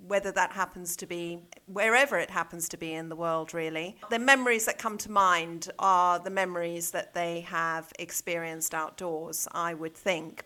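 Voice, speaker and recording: Bright, lowish-voiced South African woman. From South Africa, born in Zambia. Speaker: interview guest, head of a junior school. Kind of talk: reflective conversational interview.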